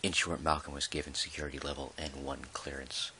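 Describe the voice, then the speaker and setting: A man playing a measured, dry park employee who dictates an official audio log in a halting, deliberate way, in ASMR roleplay style. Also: very deep voice, little pitch movement, sharp tone, a little hiss or hum. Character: male dinosaur park employee, measured, dryly put-upon record keeper.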